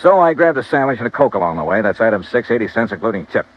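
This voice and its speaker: An actor playing a brisk, confident, wry insurance investigator in 1950s radio style, with clipped delivery. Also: male voice, lowish voice, big pitch swings. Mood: brisk narrating. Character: brisk, hard-boiled male freelance insurance investigator. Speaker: lead actor in a radio detective drama. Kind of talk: 1950s radio acting, first-person narration and dialogue. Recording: muffled old radio recording.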